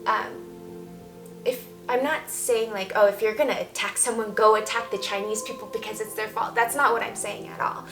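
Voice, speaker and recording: A woman's voice: medium pitch, steady tone, moderate pace. A woman talking casually and candidly as a vlogger, giving emphatic, opinionated views. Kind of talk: casual opinion talk to camera. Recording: roomy echo, faint background music. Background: soft background music.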